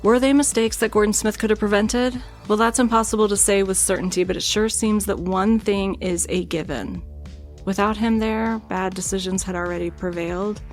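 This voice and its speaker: A woman's voice: medium pitch, steady tone, normal speed, faint music behind. A woman co-hosting a true crime podcast, telling the story in a chatty, self-deprecating way. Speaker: podcast co-host.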